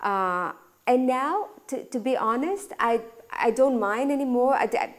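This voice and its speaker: Woman, Greek accent, school director, interview answers, halting restarts. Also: on the high side, lively intonation. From Greece. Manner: searching, restarts often, laughs easily. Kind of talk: conversational talk interview.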